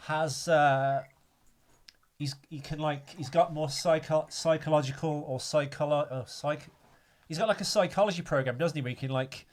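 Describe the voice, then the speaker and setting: A man's voice: fairly high pitch, moderate pace. A man co-hosting a podcast, chatting casually about a film, rambling with restarts and casual swearing. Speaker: podcast co-host.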